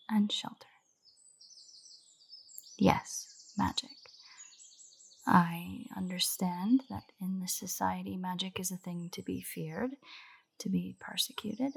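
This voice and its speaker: A nerdy royal botanist, acted by a woman in a fantasy audio drama, with a breathy, slightly creaky voice. She is earnest and formal, explaining things with careful precision as if lecturing a curious visitor. Birdsong plays behind her. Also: mid-range voice, darkish tone. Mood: fascinated, explaining, then careful, guarded. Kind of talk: roleplay audio drama, scripted monologue to the listener. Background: birdsong, garden ambience.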